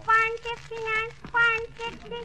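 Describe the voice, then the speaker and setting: A woman's voice: very high voice, monotone, normal speed. A woman playing a pestering little girl who keeps counting ball bounces aloud, in a 1940s radio comedy. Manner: singsong counting, nagging questions.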